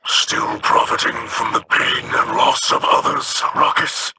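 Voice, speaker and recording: An actor voicing a solemn alien pirate captain in a video game, speaking with measured gravity over noisy game audio. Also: male voice, medium pitch, level tone, lightly acted. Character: noble alien pirate captain, grave and earnest.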